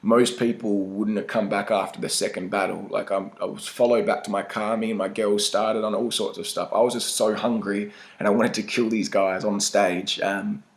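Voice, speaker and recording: A man's voice: lowish voice, flat delivery, moderate pace, few pauses. A young Australian man talking informally and slangily in a casual documentary interview. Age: young adult. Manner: informal, slangy, mild swearing. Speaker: battle rapper being interviewed.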